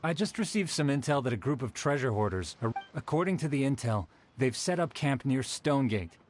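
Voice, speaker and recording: A man's voice: medium pitch, lively intonation, a little fast, a little hiss or hum, natural voice. A man voicing a dutiful, earnest city guard with a formal, official manner in a video game.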